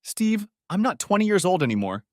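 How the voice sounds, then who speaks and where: A whiny, condescending middle-aged wife, put on by a man narrating, pitched well above his own low voice. Her retorts come out curt and impatient, with a lively rise and fall. The sound is close and dry. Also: a little fast, no room sound.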